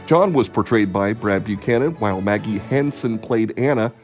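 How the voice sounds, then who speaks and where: A man's voice: lowish voice, normal speed, darkish tone. A man giving a scripted sign-off as the announcer of a radio theatre show. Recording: music under the voice. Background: music.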